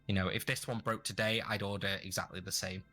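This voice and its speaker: A man with a fairly low voice and a bright, forceful edge, talking casually as an interview guest. He rambles, restarting sentences as he goes. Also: level tone, quick pace. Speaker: guest streamer.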